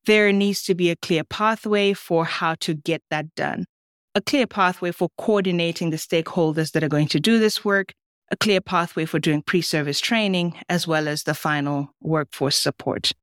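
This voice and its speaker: A woman's voice: fairly low pitch, moderate pace, smooth, no echo, studio quality. A woman hosting a podcast, delivering scripted introductions and interview questions. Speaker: podcast host.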